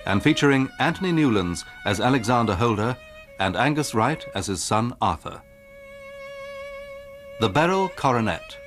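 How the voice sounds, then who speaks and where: Announcer, male: formal scripted radio introduction, mid pitch, string music underneath. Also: very animated, moderate pace, long pauses. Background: violin music.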